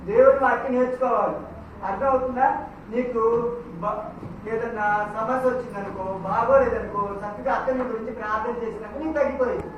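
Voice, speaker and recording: A woman hosting a children's puppet show as a Bible teacher, instructing and gently scolding. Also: a little high, fairly even intonation, fairly quick, muffled recording. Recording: echoey hall from far off, noisy.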